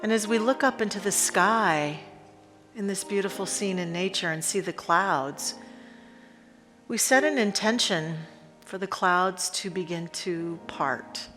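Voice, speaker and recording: A woman leading a guided meditation in a reverent, unhurried manner, pausing deliberately between short phrases. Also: mid-range voice, fairly bright tone. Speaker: spiritual leader guiding a meditation. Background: instrumental music.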